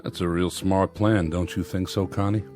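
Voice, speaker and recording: An American actor playing a mocking, folksy saloon onlooker in an old television western, with a sardonic delivery. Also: male voice, low-pitched, flat delivery, moderate pace, a little mellow, lightly acted. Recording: vintage TV soundtrack with music underneath.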